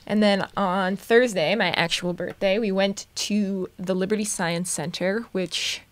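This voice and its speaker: A woman of thirty with a medium-pitched voice talks as a live-stream co-host. Her delivery is casual and unscripted, with an informal, jokey way of putting things. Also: steady tone, measured pace, dry recording. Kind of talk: casual chat.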